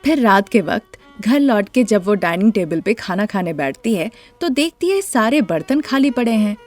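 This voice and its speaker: A woman's voice: medium pitch, very animated, moderate pace, a little mellow, faint music behind. A woman narrating a scripted moral story as the storyteller.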